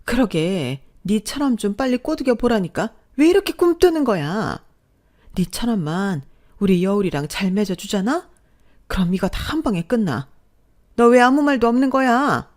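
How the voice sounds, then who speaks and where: A woman voicing a desperate, scheming middle-aged mother-in-law who scolds and begs for money in a shrill, pushy voice. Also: very animated, moderate pace, a little mellow, close to an everyday voice. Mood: frantic, nagging.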